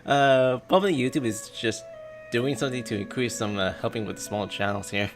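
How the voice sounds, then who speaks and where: A man's voice: medium pitch, brisk. A man chatting casually to livestream viewers in a hesitant, rambling, self-effacing way. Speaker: game livestreamer. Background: game music.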